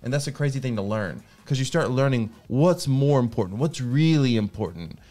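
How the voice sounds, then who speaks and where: A man's voice: medium pitch, lively intonation, mellow, soft background music. An American man, a native English speaker, talking as a guest in casual chat, earnest and full of restarts. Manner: rapid, earnest, restarts often.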